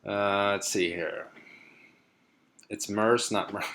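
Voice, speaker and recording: A young man from Sweden with a lowish voice, chatting on a live stream. He reads out viewer comments and answers them in an easygoing, meandering way, stopping for plenty of ums and uhs. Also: a little hiss or hum. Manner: relaxed and rambling, many fillers. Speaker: car vlogger hosting a live stream.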